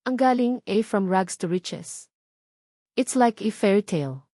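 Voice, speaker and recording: A woman's voice: mid-range voice, expressive, measured pace, warm tone, dry recording, studio quality, lightly acted. A woman voicing a sarcastic, jealous rich young woman who threatens a rival and slips English into her speech.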